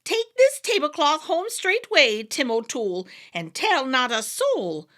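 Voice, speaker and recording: Woman voicing a sly wee fairy leader; small, high and sing-song, bright and lively, storybook reading. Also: measured pace, heavily acted. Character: leader of the wee folk, sly little man.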